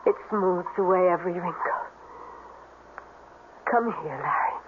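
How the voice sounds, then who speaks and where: A slow, languid actress as a strange young swamp girl, breathy and melodramatic in the manner of old radio plays. The sound is muffled, with clear background noise. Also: fairly low pitch, lightly acted. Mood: soothing, sensuous. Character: strange swamp girl, feverish, sensuous, pleading. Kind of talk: old-time radio drama acting.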